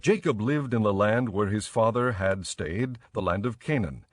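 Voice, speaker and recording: Low-pitched man narrating scripture. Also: lively intonation, normal speed, over music.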